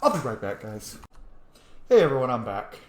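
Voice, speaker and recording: Male game streamer, casual commentary, mid-range voice with lively swings, roomy recording. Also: mid-range voice, moderate pace, slight background noise. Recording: roomy, echoey sound.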